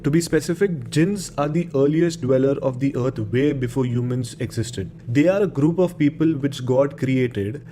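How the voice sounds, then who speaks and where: A man's voice: mid-range voice, moderate pace, few pauses, dark tone, slight background noise. A man with an Indian accent informally hosting a horror show and telling stories, often repeating words and phrases. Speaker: host of a horror radio show.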